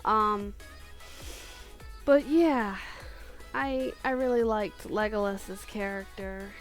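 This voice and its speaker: A woman's voice: mid-range voice, fairly even intonation, slow pace, faint music behind. A woman casually chatting through her favorite picks, gushing and giggly, often restarting her sentences. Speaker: video creator. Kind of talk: casual countdown chat.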